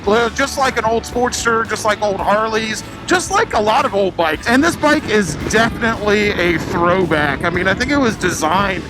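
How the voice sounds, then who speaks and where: A man's voice: high-pitched, lively intonation, normal speed, few pauses, music in the background, low-quality recording. A man hosting a motorcycle vlog with casual, slangy commentary and self-deprecating jokes.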